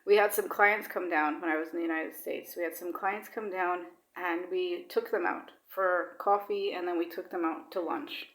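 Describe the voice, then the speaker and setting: A woman's voice: fairly low pitch, echoey room. A woman vlogging in casual, rambling talk with lots of fillers, now and then dropping Swedish words into her English. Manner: chatty, rambling, with many fillers. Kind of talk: casual personal chat.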